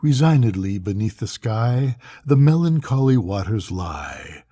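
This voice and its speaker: An older man reads poetry at an unhurried pace in a low-pitched, husky voice. His delivery is solemn and dramatic, like a grandfather at bedtime, with measured pauses between phrases. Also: very animated, mellow, dry recording. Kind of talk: slow dramatic poetry reading.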